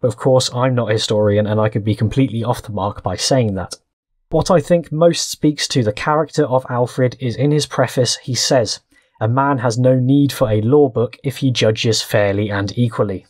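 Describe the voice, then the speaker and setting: British male video essayist, mid pitch, scripted narration, very dry sound. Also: moderate pace, a little mellow, no echo. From Britain.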